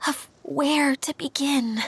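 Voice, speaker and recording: High-pitched, polite game caretaker girl. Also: fairly even intonation, takes it slowly, a little hiss or hum. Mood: downcast, hesitant. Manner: polite, hesitant, earnest. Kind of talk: anime video game voice acting. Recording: music behind the voice. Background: game music.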